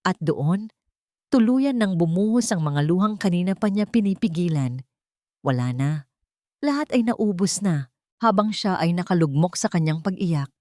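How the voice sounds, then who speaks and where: Woman storyteller, low pitch, scripted dramatic narration, very dry recording. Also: darkish tone, no echo.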